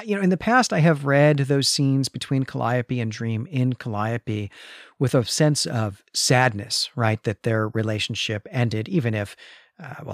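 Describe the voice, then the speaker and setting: A man's voice: mid-range voice, no echo, studio quality. A man co-hosting a podcast, discussing a story in an analytical, conversational way and often checking in with tag questions. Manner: analytical, adds frequent tag questions like "right?". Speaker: podcast co-host. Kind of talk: conversational literary discussion of a comic.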